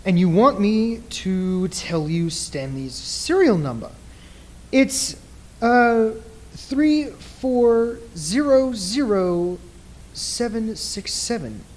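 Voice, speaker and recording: A man playing a commanding stage mind reader in a radio play, theatrical, deliberate and insistent. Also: sits high, very animated, a little mellow, full character voice, low-quality recording. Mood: showy.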